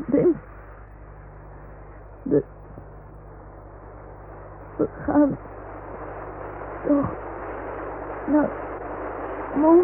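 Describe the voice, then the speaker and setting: A woman playing a small, earnest boy in an old radio drama, speaking slowly in simple childlike phrases. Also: high-pitched, heavily acted. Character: small earnest boy talking to his toy dog. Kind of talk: 1960s Dutch radio drama acting. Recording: noisy, muffled old radio recording.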